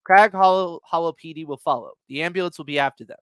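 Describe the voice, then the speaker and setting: A man voicing a calm, measured emergency dispatcher in a dramatic reading of a call. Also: on the high side, smooth, dry recording, close to an everyday voice. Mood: businesslike.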